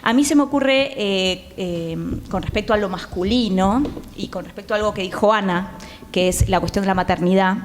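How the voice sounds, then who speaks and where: A woman's voice: mid-range voice, normal speed, a little hiss or hum. A woman with an Argentine accent speaking as a panel guest in conversational style, searching for her words. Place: theatre, live panel. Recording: reverberant theatre hall.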